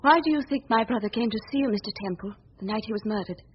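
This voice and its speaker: Actress as high-voiced, earnest young lady reporter. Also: slight background noise, natural voice. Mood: grave, earnest. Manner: earnest, insistent, deliberate. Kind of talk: 1940s radio drama acting. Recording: husky, dull old radio recording.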